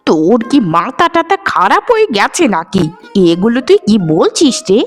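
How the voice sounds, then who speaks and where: A woman's voice: on the high side, very animated, moderate pace, soft background music. A woman voicing a harsh, domineering middle-aged village mother in a cartoon, with a scolding, disdainful manner. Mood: scornful, scolding. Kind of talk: cartoon voice acting.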